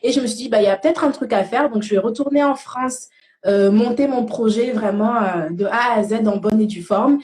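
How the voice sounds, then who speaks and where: A woman's voice: on the high side, brisk, darkish tone, roomy sound. A young woman from Senegal, an interview guest telling her own story in a casual, conversational way. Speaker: interview guest, cosmetics brand founder. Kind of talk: casual first-person storytelling.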